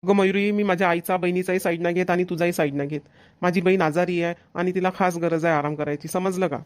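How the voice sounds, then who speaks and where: A man's voice: sits high, steady tone, fast talker, lightly acted. A man voicing a young husband in a family comedy cartoon, speaking in a firm, insistent, reasoning manner. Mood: firm, defensive. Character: young husband firmly defending his sick sister.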